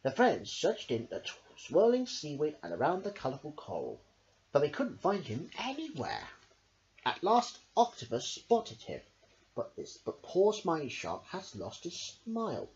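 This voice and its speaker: Animated, unhurried woman storyteller. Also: low voice, dull audio. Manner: theatrical, deliberate emphasis. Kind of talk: animated children's picture-book reading.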